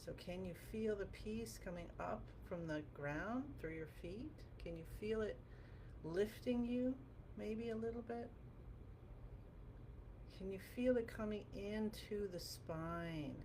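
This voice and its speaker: A woman with a gravelly voice guiding a qigong class through movement and meditation in a gentle, encouraging, informal way. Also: mid-range voice. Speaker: qigong teacher. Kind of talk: guided movement and meditation instruction. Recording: clearly noisy background.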